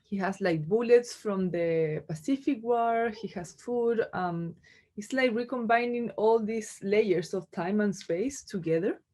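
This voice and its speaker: A woman from Chile with a Chilean accent, a native Spanish speaker, giving an informal artist talk in a halting, conversational way peppered with filler words. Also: medium pitch, mellow. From Chile, Santiago. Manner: halting, conversational, frequent "like" and "you know". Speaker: visual artist giving a lecture.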